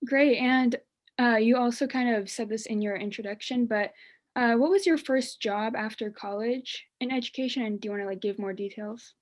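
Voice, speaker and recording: A woman's voice: on the high side, normal speed. Young woman asking interview questions. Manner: friendly, brief questions with fillers. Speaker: student interviewer.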